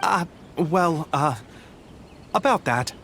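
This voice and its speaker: A polite, flustered editor. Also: male voice, on the high side, lively intonation, slow pace, fairly bright tone, slight background noise, full character voice. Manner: polite, apologetic. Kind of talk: video game voice acting. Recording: game audio with music behind.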